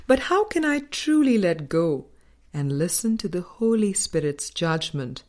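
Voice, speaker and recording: A woman reading a spiritual book aloud as a scripted audiobook, in a measured, deliberate manner with pauses between phrases. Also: lowish voice, darkish tone. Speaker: audiobook reader.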